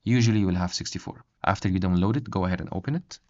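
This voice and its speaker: A man narrating a step-by-step software tutorial as its host, on a dull, lo-fi recording. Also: deep voice, rapid-fire. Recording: dull, lo-fi microphone sound.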